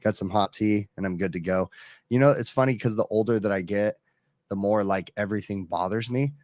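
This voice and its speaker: A man hosting a casual live stream as a service technician, slightly hoarse, talking in a rambling, easygoing way with lots of fillers. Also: on the low side, a little fast, darkish tone, no room sound, studio quality.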